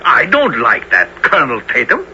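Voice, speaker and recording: A blustering circus father speaks broken, in a radio play. His voice is piercingly bright and swings wildly in pitch. The recording is a dull radio broadcast with faint noise. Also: wildly swooping pitch, normal speed, exaggerated voice. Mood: grumbling, suspicious. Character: hot-tempered circus father with broken English. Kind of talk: 1970s radio drama acting.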